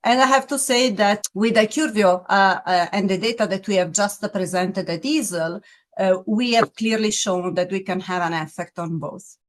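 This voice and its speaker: A middle-aged woman with a clear Italian accent gives interview answers in a medium-pitched voice. She speaks in measured phrases, filling gaps with soft hesitations and restarting now and then as she searches for words. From Italy. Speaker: pharmaceutical medical officer.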